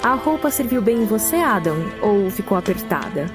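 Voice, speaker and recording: A woman playing a polite, thoughtful tiefling woman in an audio drama, gentle in manner. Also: mid-range voice, sing-song, normal speed, close to an everyday voice. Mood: kindly. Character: courteous, thoughtful tiefling woman, the leader's niece. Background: music.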